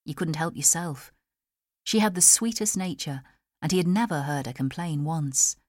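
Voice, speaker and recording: A woman's voice: sits low, normal speed, a little mellow, no echo, studio quality. A woman with a British accent reading a novel as a scripted audiobook narration. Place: studio.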